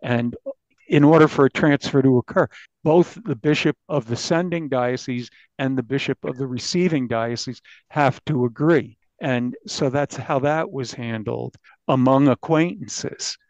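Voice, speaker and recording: A man speaking as an investigative journalist in a podcast interview, recounting findings with halting false starts. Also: mid-range voice, darkish tone, dry recording, muffled recording.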